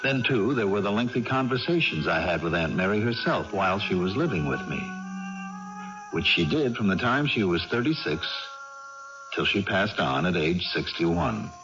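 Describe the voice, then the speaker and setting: An actor playing an earnest, kindly middle-aged reverend in a 1980s radio drama, with measured, old-fashioned formal diction. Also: male voice, sits low, lively intonation. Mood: composed, reflective. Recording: muffled vintage radio broadcast with music.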